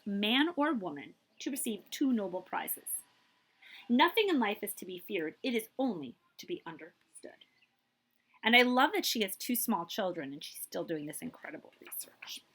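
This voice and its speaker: A woman's voice: on the high side, a bit bright. A woman reading a picture book aloud like a children's librarian, emphatic and drawing out key words, with brief asides to her listeners.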